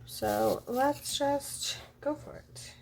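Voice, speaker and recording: A woman with a froggy, hoarse voice chatting casually as she decorates a planner, thinking aloud and counting under her breath. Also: high-pitched, extremely slow, darkish tone, slight background noise, low-quality recording. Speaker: planner vlogger. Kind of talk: casual talk while drawing a journal spread.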